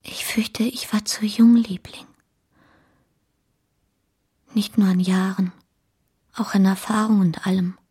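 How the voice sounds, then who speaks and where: A young wife on her deathbed in a radio drama. Her voice is barely there, all breath and whisper, and she speaks slowly, tender and resigned to her fate. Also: medium pitch, steady tone, slightly rough. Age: young adult. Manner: faint, slow, gentle. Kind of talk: German radio play acting.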